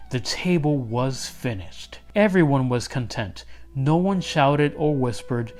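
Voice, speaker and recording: A man reading a children's story aloud as narrator, animated and expressive. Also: mid-range voice. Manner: animated, with acted character voices. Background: background music.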